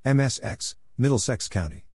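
A man's voice: medium pitch, animated, moderate pace, a little mellow, a little hiss or hum. A man giving a scripted reading for code practice, calling out spelled letters and place names as separate short announcements. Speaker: Morse code practice instructor.

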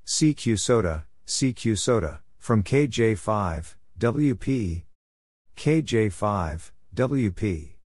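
A man reading radio contact exchanges aloud as a practice narrator, spelling out call signs and numbers in a measured way. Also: on the low side, lively intonation, a little mellow, no room sound, clean studio sound. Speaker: Morse code practice narrator.

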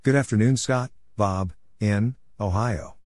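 A man's voice: on the low side, unhurried, background noise. A man reading out call signs and short exchanges for Morse code practice, spelling them letter by letter in brief phrases.

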